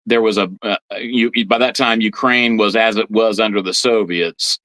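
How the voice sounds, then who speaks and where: A man speaking as an interview guest, informally explaining history and politics in a halting way, repeating words as he goes. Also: lowish voice, fairly bright tone, dry recording, low-quality recording. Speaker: guest priest on a religious podcast.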